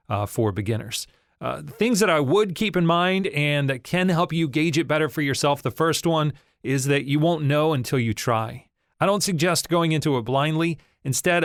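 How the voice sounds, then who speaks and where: A man's voice: a little high, fairly bright tone, no room sound. Guy hosting a voice-over podcast, friendly coach vibe, dry close mic. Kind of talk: scripted podcast teaching.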